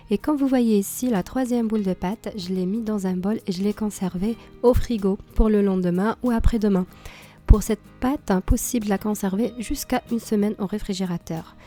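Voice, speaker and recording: Hoarse woman with a mellow voice. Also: medium pitch, normal speed, faint music behind. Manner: calm, explains step by step with small fillers. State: slightly hoarse from a cold. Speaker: cooking channel host. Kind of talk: cooking tutorial voice-over.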